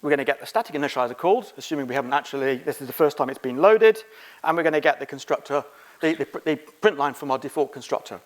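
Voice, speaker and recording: A middle-aged man speaking as a conference presenter, giving an informal, halting technical lecture. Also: fairly high pitch. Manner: informal, halting, quizzing the audience. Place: conference room, on stage.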